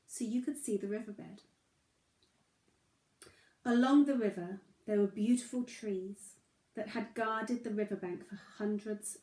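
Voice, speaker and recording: A woman reading a children's story as a storyteller, gentle and expressive, with drawn-out phrasing. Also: mid-range voice. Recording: roomy, echoey sound.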